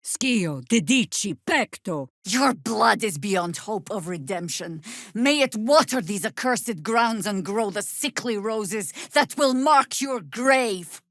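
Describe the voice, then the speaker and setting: A woman voicing a weary, sardonic veteran druid warrior in a fantasy video game, speaking in a dry, measured way. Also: medium pitch, animated, no room sound. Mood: fierce incantation, then cold contempt.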